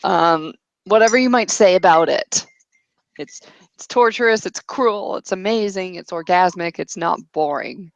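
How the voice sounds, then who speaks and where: Creaky, low-voiced woman narrating a roleplaying game. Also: unhurried, no room sound, rough recording. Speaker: game master. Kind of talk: improvised tabletop roleplay narration.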